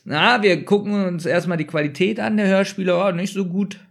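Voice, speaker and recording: A man's voice: high voice, fairly even intonation, fairly quick, vocal fry. A man co-hosting a podcast in casual banter, joking and teasing and cutting in with questions. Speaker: podcast co-host.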